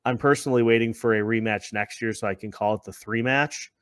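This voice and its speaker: A man's voice: fairly low pitch, steady tone, brisk, a bit bright, smooth, no echo. A man hosting a sports podcast in a conversational way, repeating phrases as he builds his points. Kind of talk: conversational sports discussion with scripted-sounding intro.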